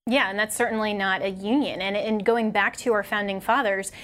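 An articulate woman hosts a political talk show, building her argument in a conversational, earnest way. Her voice sits at a middle pitch for a woman. She speaks plainly and directly, breaking into a quick chuckle now and then. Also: steady tone, fairly bright tone.

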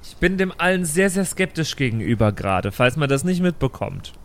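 Man as young woman adventurer, very high voice, extremely animated pitch, whiny, stubborn, indignant, tabletop roleplay. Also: fairly quick, slight background noise. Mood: sceptical, upset. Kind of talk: improvised tabletop roleplay audio play.

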